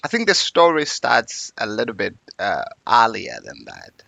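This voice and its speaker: A man's voice: medium pitch, wildly swooping pitch, unhurried, vocal fry, bright, forceful tone, no echo. A man, telling his life story as an interview guest in a reflective, earnest way. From Uganda.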